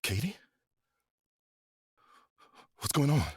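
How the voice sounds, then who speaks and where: A man's voice: extremely slow, darkish tone, no echo, studio quality. An actor playing an upbeat, athletic man in an audio drama, speaking in a casual, exclamatory way. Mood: concerned. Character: Noah, upbeat athletic man, friend of the narrator.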